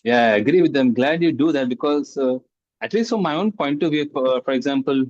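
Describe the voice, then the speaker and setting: A man hosting a casual interview, speaking haltingly and repeating phrases as he asks questions. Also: mid-range voice, animated, darkish tone, no room sound. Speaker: interview host. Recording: dull, dry sound.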